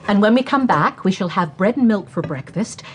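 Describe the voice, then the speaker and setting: Actress as kind middle-aged mother, mid-pitched, gentle, composed, guiding, radio drama, faint ambience. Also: animated, a little fast, slight background noise, close to an everyday voice. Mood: earnest. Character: kind, steady, devout mother of daughters.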